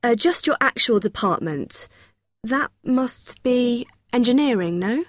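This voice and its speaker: A woman's voice: a little high, animated, a little slow, no room sound, studio quality. A young woman playing a friendly, helpful student union helper who explains things in an acted everyday dialogue. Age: young adult.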